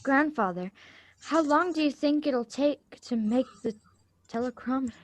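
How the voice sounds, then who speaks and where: A woman's voice: sits high, unhurried. A woman playing an eager, polite schoolgirl granddaughter in a script reading of a radio drama, earnest and sometimes stumbling over lines. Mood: excited, curious.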